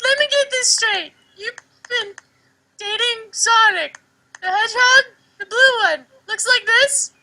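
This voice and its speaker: Woman doing a super high cartoon hedgehog, slow and incredulous, comedy fan dub style. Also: bright, forceful tone, heavily acted, poor audio.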